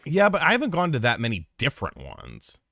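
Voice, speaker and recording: A man's voice: mid-range voice, huge pitch swings, brisk, gravelly, a bit bright, no echo, studio quality. A man co-hosting a podcast aftershow, joking and swearing in rambling casual chat. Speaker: podcast co-host.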